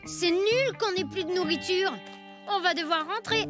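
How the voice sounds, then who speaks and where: An excitable, tender-hearted little cartoon kid, extremely high in pitch. The talk is childish and full of exclamations, over loud music. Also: female voice, normal speed. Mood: dismayed. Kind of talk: French animated cartoon acting. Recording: music behind the voice.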